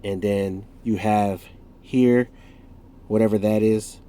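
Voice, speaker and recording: A man's voice: fairly low pitch, fairly even intonation, slow pace, mellow. A man in his forties from the United States with a stuffed-up nose from a cold, casually reviewing a product in a rambling, self-correcting way with jokey asides. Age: 40s.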